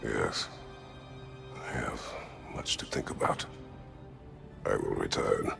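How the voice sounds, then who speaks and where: A man playing a grim, weary warrior in a video game, gravelly and speaking in terse, halting, solemn phrases. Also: extremely low pitch, over music, full character voice. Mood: brooding, grave. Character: grim, weary, battle-hardened male warrior seeking understanding. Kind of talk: dramatic video game acting.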